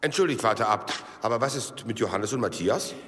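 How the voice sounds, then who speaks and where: An actor playing a suspicious monk who questions politely in a German radio drama. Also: male voice, on the low side, animated, a little fast, background noise. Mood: probing. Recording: distant voice in reverberant hall.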